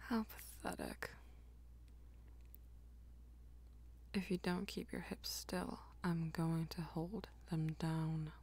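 Husky, whispering dominant vampire woman, acted out. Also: low voice, fairly even intonation, a little mellow, heavily acted. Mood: teasing, commanding. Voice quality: breathy, husky whisper with creaky edges. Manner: slow, teasing, commanding. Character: dominant, jealous, possessive female vampire lover. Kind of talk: roleplay ASMR, intimate close whispering.

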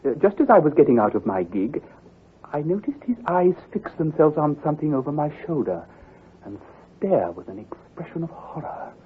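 An actor playing an earnest, troubled country doctor who speaks gravely and precisely, with some hesitation, in old-time radio drama style. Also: male voice, fairly high pitch, sing-song, moderate pace, heavily acted. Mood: troubled, recounting. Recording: muffled, husky old radio recording.

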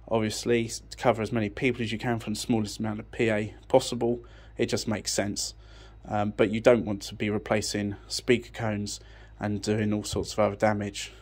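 A man giving an informal technical explanation in a matter-of-fact, unscripted way, thinking as he goes. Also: mid-range voice. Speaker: audio technician presenting a tech channel. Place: indoors, beside an amplifier rack.

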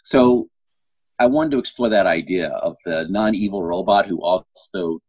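A middle-aged man speaks in a low, husky voice with very little room sound. He chats casually as an interview guest, looping back and restarting his thoughts as he explains. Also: moderate pace, a little mellow, no room sound. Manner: rambling, self-interrupting with repeated false starts. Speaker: guest novelist. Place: indoor room at home. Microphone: phone at arm's length on a video call.

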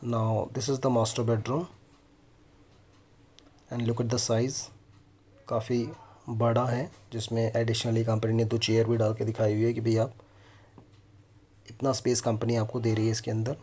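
An Indian man in his thirties hosts a property tour video. He explains features directly to the viewer in the manner of a consultant, with a medium-pitched voice. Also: little pitch movement, slow, long pauses, darkish tone, slight background noise. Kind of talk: property walkthrough presentation mixing Hindi and English.

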